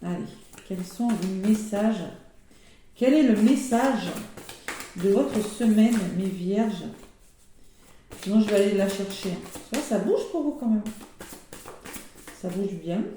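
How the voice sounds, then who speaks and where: A hoarse woman giving a casual weekly astrology card reading, chatty and full of fillers and exclamations. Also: mid-range voice, expressive, slow pace, long pauses, warm tone, a little hiss or hum. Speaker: tarot and oracle card reader. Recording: very echoey room with faint music.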